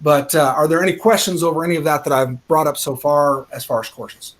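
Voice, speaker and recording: School guy, slightly higher voice, finishing up his talk on courses. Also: steady tone, fairly quick. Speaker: school administrator. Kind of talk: presentation to a school board.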